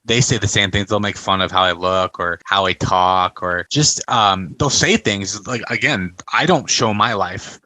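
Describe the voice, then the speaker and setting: A man's voice: lowish voice, a little slow, hardly any pauses, pushed and bright, dry recording. A man hosting a casual discussion over a video call, talking in an outspoken, emphatic way. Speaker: host.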